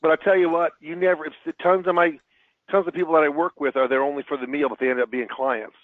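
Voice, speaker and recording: A man's voice: fairly high pitch, level tone, no room sound. A man answers webinar questions as a financial advisor. He stumbles and repeats words as he talks. His voice comes through a tinny phone line with a dry sound. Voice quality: halting, repeats words often.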